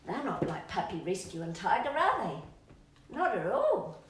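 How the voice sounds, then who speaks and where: A woman's voice: mid-range voice, sing-song, measured pace, a little hiss or hum. A woman reading aloud to children in a playful, sing-song storybook style, drawing out words for emphasis and chatting to her pet. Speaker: children's storytime reader and channel host. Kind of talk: expressive storybook read-aloud with asides to a pet dog. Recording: roomy echo, distant microphone.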